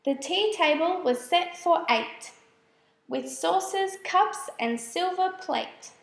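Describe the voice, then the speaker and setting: A woman reading children's verse aloud as a storyteller, in a measured, expressive way. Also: extremely high pitch, gravelly, echoey room. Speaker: storybook reader. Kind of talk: scripted children's verse narration.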